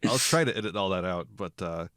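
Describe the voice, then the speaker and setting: A man's voice: sits low, lively intonation, brisk, a bit bright, no room sound. A man hosting a casual film podcast, getting over a cold, wry and chuckling between his remarks. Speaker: podcast host.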